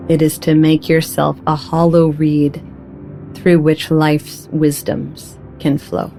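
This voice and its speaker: A woman with a low, mellow voice reads poetic spiritual meditation very slowly. Her phrasing is solemn and deliberate, in the manner of an audiobook narrator.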